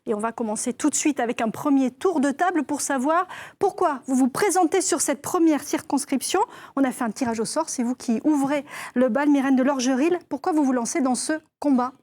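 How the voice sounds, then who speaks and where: A woman presenting a televised political debate, introducing guests in a clear, measured way. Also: high voice, a little mellow. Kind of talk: broadcast debate hosting.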